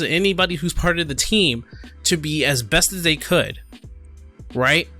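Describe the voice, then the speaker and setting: Bright, higher-voiced man commenting casually. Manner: halting, apologetic, unscripted. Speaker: gaming video creator. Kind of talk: casual commentary, reading a forum post aloud.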